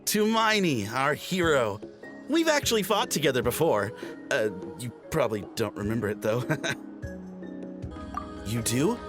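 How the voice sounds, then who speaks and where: A man's voice: medium pitch, very animated, a little slow, pauses often, music in the background. A man playing an easygoing, friendly young guard in a video game. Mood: awed, excited.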